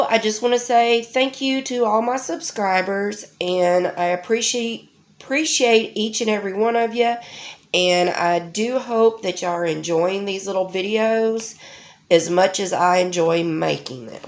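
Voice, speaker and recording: Woman host, middle-aged, nasal with a cold, unhurried homey chatter with plenty of ums. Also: medium pitch. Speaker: crafting channel host. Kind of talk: casual unboxing vlog.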